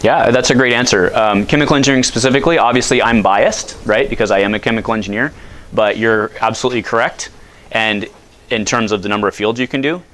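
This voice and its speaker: A man in his thirties from the United States, giving a loud, casual talk to high school students and asking them questions. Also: fast, slight background noise. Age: 30s. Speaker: guest speaker.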